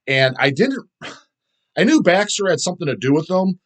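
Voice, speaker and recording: A man's voice: high-pitched, big pitch swings, moderate pace. Blunt, foul-mouthed man rambling. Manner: profane, blunt, opinionated; rambling, repeats words for emphasis. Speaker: host of a video show about underground death metal. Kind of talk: casual rambling opinion vlog, answering viewer comments.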